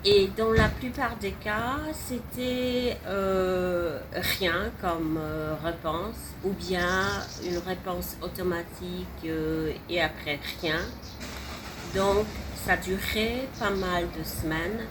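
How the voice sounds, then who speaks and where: Slow, halting woman with a Polish accent. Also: on the low side. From Poland. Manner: halting and emphatic, restarts and repeats words. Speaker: satisfied client giving a testimonial. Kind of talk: unscripted testimonial. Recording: steady background noise.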